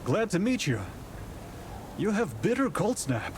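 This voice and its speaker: An actor playing a composed, authoritative nineteenth-century detective with a polite, formal, measured way of talking in a visual novel. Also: male voice, sits high, very animated, slight background noise. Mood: brisk, courteous. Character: composed, courteous, authoritative 19th-century male detective from the capital. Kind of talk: scripted visual novel voice acting.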